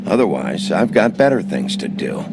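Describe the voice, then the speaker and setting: An actor playing a gruff, weary male investigator in a video game, gravelly, terse, sardonic and impatient. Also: low voice, sing-song, moderate pace, heavy background noise, heavily acted. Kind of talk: video game drama acting. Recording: loud music bed.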